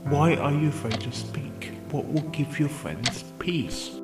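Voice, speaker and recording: A man playing a patient, probing pony therapist in an audio drama, speaking in a calm, measured and firm way. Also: fairly high pitch, close to an everyday voice. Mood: calm, pressing. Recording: roomy voice over background music.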